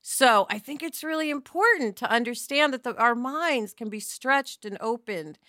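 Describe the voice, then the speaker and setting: A woman's voice: a little high, expressive, moderate pace, bright, forceful tone, dry recording, clean studio sound. A woman talking casually as a podcast panelist, with a candid, informal and emphatic manner. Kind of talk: casual conversational discussion.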